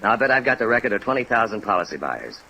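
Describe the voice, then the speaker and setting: Low, earnest American insurance official. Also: male voice, very animated, gravelly, pushed and bright, heavily acted, poor audio. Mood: earnest, weary. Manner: earnest, halting, sincere. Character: big, earnest, discouraged male insurance claims man. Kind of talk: 1950s radio drama acting. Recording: vintage radio recording with rain noise.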